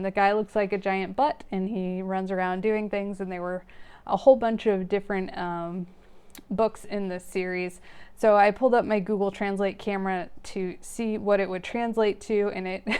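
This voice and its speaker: Woman vlogger, relaxed and rambling, talks straight to her viewers in an ordinary mid-range voice. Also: mid-range voice, smooth. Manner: rambling, chatty, frequent fillers and soft laughs; friendly direct address to viewers. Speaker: knitting vlogger, host. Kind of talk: casual chat to camera.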